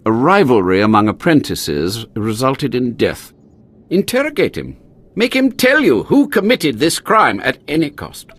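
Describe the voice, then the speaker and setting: A man's voice: mid-range voice, huge pitch swings, a little slow, fairly bright tone, slightly rough, heavily acted. An actor playing a haughty, scheming Sith inquisitor in a video game, theatrical and condescending, drawing out his words. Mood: haughty, commanding. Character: haughty, scheming male Sith inquisitor, self-preserving overseer of trials.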